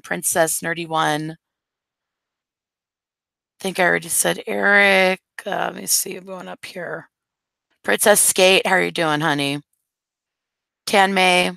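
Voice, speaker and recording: A woman's voice: sits low, fairly bright tone, clear, resonant tone, no echo. A woman hosting a live stream in casual chat, talking straight to her viewers while losing her voice. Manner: chatty, addresses viewers directly.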